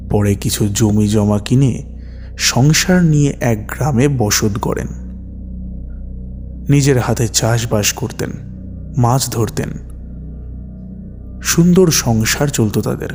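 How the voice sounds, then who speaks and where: A man narrating stories as a horror podcast host, in a measured, deliberate and dramatic way with pauses between short phrases. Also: mid-range voice, dark tone. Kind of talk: slow dramatic storytelling narration. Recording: long reverb with music behind. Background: background music.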